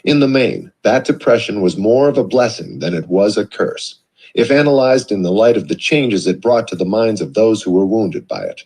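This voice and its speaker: A man narrates an audiobook with a husky, slightly breathy voice. His reading is measured and earnest. His pitch moves with plenty of life from phrase to phrase. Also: animated, darkish tone.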